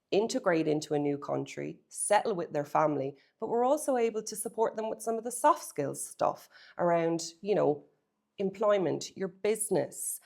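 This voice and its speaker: A woman's voice: sits low, gravelly, a little mellow. A middle-aged Irish woman, co-owner of a property agency, telling her family's relocation story to camera in an earnest, reflective way. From Ireland. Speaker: real estate agency co-owner.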